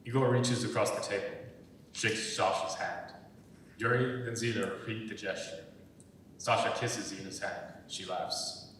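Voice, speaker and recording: A man's voice: steady tone, measured pace, a bit bright. A man reading screenplay stage directions aloud as scripted narration, recorded from far off in an echoing hall. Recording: echoey hall, far from mic, rumbly noise.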